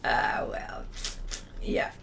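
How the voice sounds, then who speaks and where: A woman's voice: very slow, fairly bright tone, in a whisper. A middle-aged Australian woman chatting casually on a livestream, rambling and trailing off as she reads out and answers comments. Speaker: livestream host, artist. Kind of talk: casual livestream chat, reading out viewer comments.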